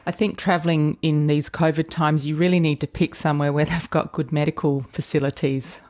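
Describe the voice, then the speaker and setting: A woman hosts a podcast and speaks in a low, mellow voice. Her delivery is measured and friendly. The sound is dry and close. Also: flat delivery, no room sound. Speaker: podcast host. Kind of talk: scripted intro then casual interview.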